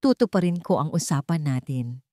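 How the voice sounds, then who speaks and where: Romantic male lead, performed by a woman, young and rich, guarded yet devoted, expressive pitch movement. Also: normal speed, no room sound, clean studio sound. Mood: earnest. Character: reserved rich young man, softening and devoted.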